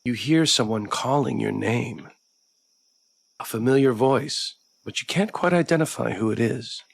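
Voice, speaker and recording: A man's voice: medium pitch, measured pace, creaky. A man streaming a visual novel, reading the narration aloud and adding casual commentary of his own. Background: background music.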